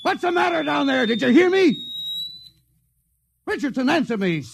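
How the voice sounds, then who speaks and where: An actor playing a commanding, embattled spaceship captain in a 1950s radio drama, delivered with a forceful, urgent, dramatic manner. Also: male voice, extremely high pitch, very animated, normal speed, vocal fry, heavily acted. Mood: frantic. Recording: noisy vintage radio broadcast.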